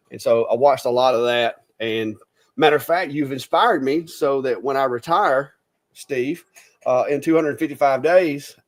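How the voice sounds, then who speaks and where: Host, male, middle-aged, easygoing and chatty, often restarts his sentences. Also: mid-range voice. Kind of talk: casual live-stream chat.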